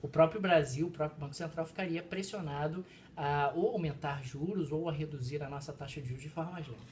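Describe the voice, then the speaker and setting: A man's voice: a little high, brisk, darkish tone, a little hiss or hum. A man, a professor co-hosting a casual chat, who explains economics in a lecturing way. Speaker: co-host, professor.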